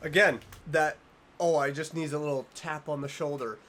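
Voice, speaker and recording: A man's voice: a little high, steady tone, normal speed, fairly bright tone. A man giving casual reaction commentary, reading captions aloud and musing in fragments. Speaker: reaction video host.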